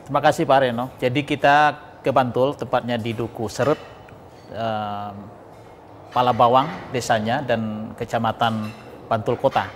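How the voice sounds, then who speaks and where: An earnest man answers as an interview guest. His voice is of middling pitch and he explains at length, point by point, in a hall with long reverb and faint noise. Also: fairly even intonation, normal speed. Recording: echoing hall, noisy background.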